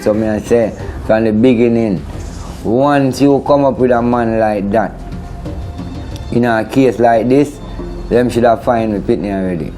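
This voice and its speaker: A man's voice: medium pitch, fairly even intonation, measured pace, dark tone, poor audio. A middle-aged man with a broad Jamaican Patois accent, speaking plainly and casually in an interview. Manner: plain-spoken, colloquial. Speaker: interviewee, father of a missing woman. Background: background music.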